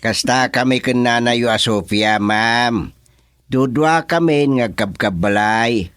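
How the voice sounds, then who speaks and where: A man's voice: mid-range voice, slow, a bit bright, clear, resonant tone, no echo, clean studio sound. A Filipino man playing a genial village chairman in a radio comedy drama. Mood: cheerful.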